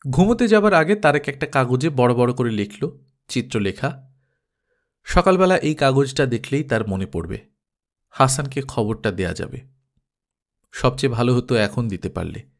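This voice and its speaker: A man's voice: medium pitch, expressive, moderate pace. A man reading fiction aloud as a story narrator in scripted audiobook narration.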